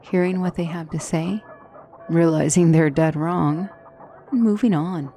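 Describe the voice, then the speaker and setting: A woman playing a poised, formal demonic tutor in a fantasy ASMR roleplay, speaking as a wise and gently reassuring mentor with measured, courtly phrasing. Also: on the low side, slight background noise. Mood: reassuring, instructive. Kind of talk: ASMR fantasy roleplay, scripted character acting.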